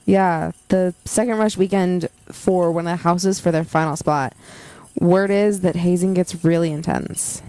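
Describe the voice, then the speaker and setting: A woman's voice: on the low side, steady tone, measured pace, dark tone, no room sound, poor audio. A woman playing a keen, friendly young campus journalist in a naturalistic audio drama, conversational and earnest. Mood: concerned.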